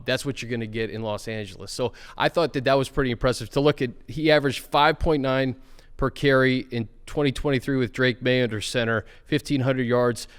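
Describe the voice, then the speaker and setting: Man, mid pitch, clear voice, podcast host, casual chat, long reverb. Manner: conversational, asks questions, fillers like uh and um. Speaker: show host. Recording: reverberant room sound.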